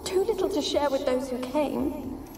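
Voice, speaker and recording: A woman's voice: sits high, a little slow, noisy background. An actress playing the lonely, haunted ghost of a young noblewoman in a video game, with a plaintive, dramatic delivery. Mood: anguished, fearful. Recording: loud music under the voice. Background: game soundtrack music.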